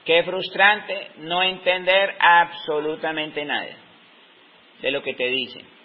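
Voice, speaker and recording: Slow, thoughtful Colombian man in his fifties. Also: fairly high pitch, steady tone. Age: 50s. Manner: slow, reflective, pauses often mid-sentence. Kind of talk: unscripted talk. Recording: dull lo-fi recording.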